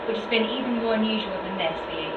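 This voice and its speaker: A woman's voice: mid-range voice, rapid-fire. A woman asking friendly interview questions. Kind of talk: casual interview. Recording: tinny, distant, noisy, echoey recording.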